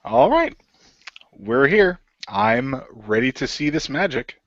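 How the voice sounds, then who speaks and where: A man playing a casual, brisk and challenging guest in a radio play. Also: medium pitch, very animated, creaky, fairly bright tone, no echo, highs cut off, heavily acted.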